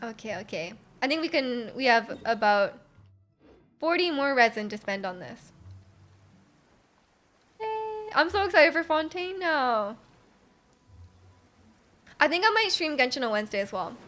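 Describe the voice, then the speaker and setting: A woman live streaming a game, chatting casually with an excitable manner and often repeating her phrases. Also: high voice, measured pace, long pauses. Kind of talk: casual live stream chat while playing. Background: game music.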